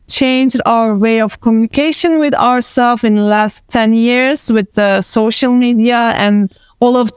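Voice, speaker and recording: A woman in her thirties, a native Turkish speaker with a Turkish accent, talks through ideas at a panel. Her voice is fairly high and clean-toned, recorded dry and close. She rambles casually, stacking fillers and laughing now and then. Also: clean tone, dry recording. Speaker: panelist, event organizer.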